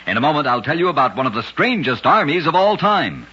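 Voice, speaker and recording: A man with a husky voice giving dramatic scripted narration for an old radio show. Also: fairly high pitch, big pitch swings, fast talker, bright, forceful tone. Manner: dramatic storytelling delivery. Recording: dull lo-fi old radio recording.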